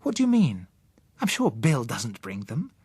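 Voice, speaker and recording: A man voicing a thoughtful, slightly uneasy wife in an audiobook story, reasoning with gentle concern. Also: medium pitch, huge pitch swings, measured pace, husky, dry recording, exaggerated voice. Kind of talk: audiobook character acting.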